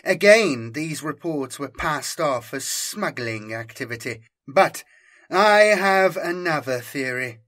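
A man's voice: a little high, expressive, slow pace, fairly bright tone. A man reading an audiobook, voicing a cold, commanding female inquisitor with a cybernetic eye, her speech curt and imperious. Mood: explaining. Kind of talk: audiobook character voice.

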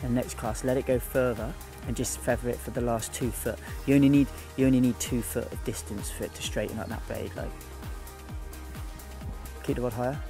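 A man guiding a beginner through fishing by a lake, giving quick, repeated instructions. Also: medium pitch, steady tone, over music. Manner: urgent, repetitive instructions. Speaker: fishing guide. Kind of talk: on-the-spot coaching. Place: outdoors by a lake.

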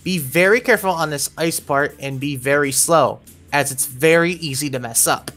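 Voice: mid-range voice, moderate pace, fairly bright tone. A narrator with a mid-range voice guides players through a game level. The delivery is instructional and step by step, like a scripted walkthrough. Faint music plays underneath. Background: background music.

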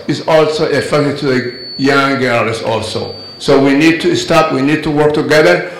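A man's voice: medium pitch, a bit bright. A middle-aged man, a Somali community leader, speaking earnestly into a handheld mic at a community forum, mixing Somali and English. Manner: switches between Somali and English, earnest and urgent. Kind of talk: public address at a community forum. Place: large indoor event hall. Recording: echoey hall.

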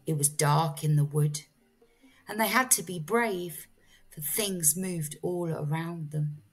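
Slow, low-voiced woman storyteller. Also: slightly rough, faint music behind. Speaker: storyteller and English teacher. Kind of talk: slow read-aloud storytelling for children.

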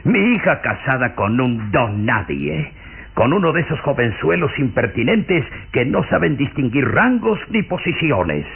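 Pompous old aristocrat for radio drama, gravelly, animated, disdainful, on a muffled old recording. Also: male voice, mid-range voice, a little slow, exaggerated voice. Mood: scornful. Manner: pompous, scornful. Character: haughty, snobbish old aristocrat father. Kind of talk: Mexican radio drama acting.

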